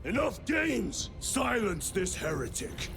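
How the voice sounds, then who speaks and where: Urgent, loyal space marine, wide-ranging. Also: male voice, high voice, very animated, takes it slowly, very noisy, full character voice. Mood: fierce. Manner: terse, earnest, military. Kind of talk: cinematic video game acting. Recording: loud music over the voice.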